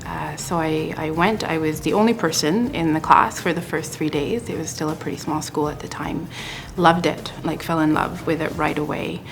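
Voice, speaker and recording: A woman in her forties from West Africa, telling her story in a thoughtful, measured way in a documentary interview. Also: low voice, fairly even intonation, gravelly, a little hiss or hum. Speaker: interviewee, combat sports athlete.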